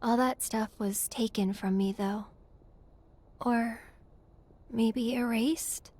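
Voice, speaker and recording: A gentle young woman in a video game story. The voice is a little high and slow, tentative and thoughtful, as if unsure of herself. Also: steady tone. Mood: pensive. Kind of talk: soft video game cutscene acting.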